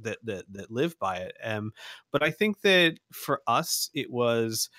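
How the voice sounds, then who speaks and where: Bright-voiced man running a tabletop game. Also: mid-range voice, no echo, studio quality. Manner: rambling and self-deprecating, with many restarts and laughs. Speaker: game master and channel host. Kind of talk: casual chat, running a tabletop session.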